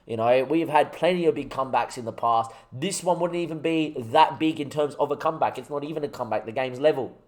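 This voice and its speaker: A young man talks through his football management game on a live stream, his voice sitting at a medium pitch. He chats with his viewers and builds himself up with rallying, excitable talk. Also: quick pace, few pauses. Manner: excitable, rallying, chatty with chat. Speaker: gaming streamer.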